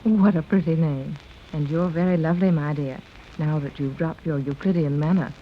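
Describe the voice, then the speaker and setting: A woman's voice: low-pitched, steady tone, moderate pace, lightly acted. A woman playing a gracious, motherly middle-aged leader of adventurers in a 1930s radio serial. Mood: gracious, welcoming. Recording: dull, faintly noisy 1930s radio recording.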